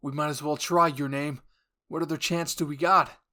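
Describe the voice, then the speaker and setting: A man voicing a brash, hot-headed young male squad leader who barks orders forcefully in an anime roleplay. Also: on the high side, steady tone, moderate pace. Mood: commanding. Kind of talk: anime fan roleplay voice acting.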